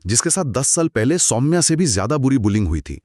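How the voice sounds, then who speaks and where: A man's voice: medium pitch, sing-song, a little fast, dark tone, dry recording, studio quality. A man hosting a conversational horror storytelling show, narrating in dramatic, short suspenseful phrases. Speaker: host narrating horror stories.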